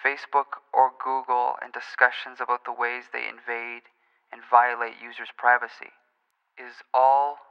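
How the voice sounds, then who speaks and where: A man playing an earnest, pedantic conspiracy-theorist caller in a radio play, speaking formally and deliberately, in a muffled, reverberant recording. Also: medium pitch, little pitch movement, gravelly, clean tone, close to an everyday voice. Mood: grave, insistent. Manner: deliberate, formal, precise, solemn.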